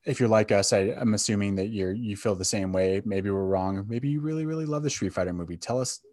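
A man's voice: fairly low pitch, fairly quick, a little mellow, no room sound, studio quality. A man co-hosting a podcast, casually discussing films in an opinionated, conversational way. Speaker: podcast co-host.

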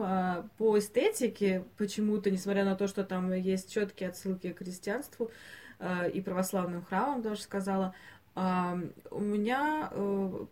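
A woman co-hosting a podcast, talking casually about animated films in a hesitant, thinking-aloud way, given to chuckling. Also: medium pitch. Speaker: podcast co-host.

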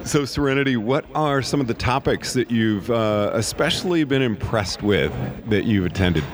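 A man's voice: mid-range voice, lively intonation, normal speed, background noise. A man hosting an interview show, asking guests friendly, easygoing questions from a studio in a ski lodge bar. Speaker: podcast host.

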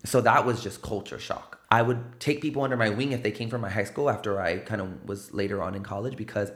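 A man's voice: fairly low pitch, a little fast, echoey room. A man from the South Side of San Antonio with a South Texas accent, telling stories casually as a guest in a studio, tagging his points with "right?". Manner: animated, peppers stories with "right?" and "like". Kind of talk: casual storytelling interview. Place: podcast studio.

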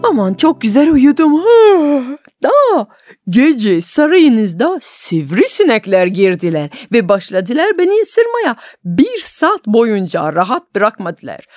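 A woman's voice: sits high, huge pitch swings, slow, darkish tone, dry recording, clean studio sound, voice pushed up, heavily acted. A woman voicing a young male traveler in a folk tale, a cheerfully boastful trickster who speaks with nonchalance. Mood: cheerfully nonchalant.

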